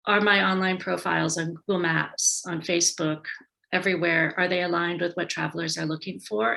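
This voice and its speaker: American woman, tourism advisor, webinar presenter, low voice with vocal fry, casual delivery. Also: fairly bright tone, low-quality recording. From United States. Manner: friendly, loose delivery with frequent ums and restarts. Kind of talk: conversational online presentation. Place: indoors at home base in a city. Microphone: video call.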